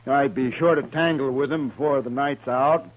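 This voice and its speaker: A rough, jovial gunslinger, played by a male actor with a folksy American Western drawl. He swaggers and joshes at a middle pitch, as in old radio westerns, on a dull vintage recording. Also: steady tone, moderate pace, slight background noise, close to an everyday voice. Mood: joshing. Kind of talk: 1950s radio western acting.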